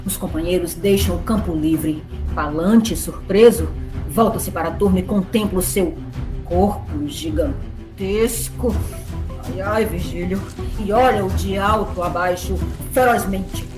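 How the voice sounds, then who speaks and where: A woman's voice: lowish voice, unhurried, echoey room, poor audio. A woman narrating a scripted story theatrically, slipping in playful, wry asides. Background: music.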